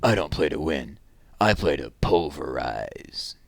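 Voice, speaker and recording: A man's voice: a bass voice, animated, a little slow, gravelly, no room sound, pitched below the performer's own voice, exaggerated voice. A man voicing a cocky, scheming boy, a boastful older brother in a children's story. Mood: menacing.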